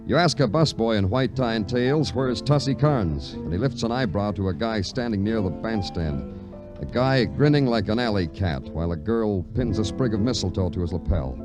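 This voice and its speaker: An actor playing a weary, laconic police detective in an old radio noir crime drama. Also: male voice, fairly low pitch, lively intonation, moderate pace, close to an everyday voice. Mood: moody, noir. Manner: laconic, understated. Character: weary, terse, hard-boiled police detective. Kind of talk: 1940s-50s radio noir acting and narration. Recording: vintage radio recording with music behind.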